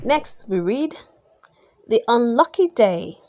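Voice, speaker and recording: Woman reading a kids bedtime story, slow and expressive, really up and down, close dry sound. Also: fairly high pitch, dry recording. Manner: expressive, drawn-out emphasis, gasps and sighs, questions to young listeners. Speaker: storybook reader. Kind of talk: read-aloud children's bedtime story narration.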